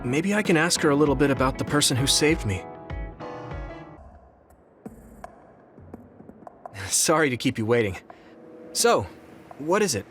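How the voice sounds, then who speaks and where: A man's voice: fairly high pitch, very animated, a little fast. A man voicing an earnest, polite, easily flustered young soldier in a video game cutscene. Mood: polite, curious. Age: young adult. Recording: music behind the voice.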